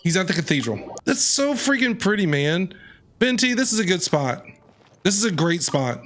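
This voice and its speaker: A man streaming a video game, reacting excitedly in casual commentary and talking back to the characters. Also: high voice, normal speed. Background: game music.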